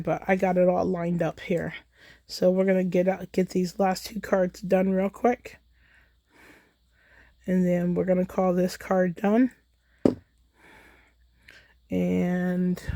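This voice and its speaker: An American woman chatting casually in a relaxed, rambling way as she hosts a crafting livestream, recorded on a phone in a holder. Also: fairly low pitch, vocal fry, no echo. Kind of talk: casual chat while crafting.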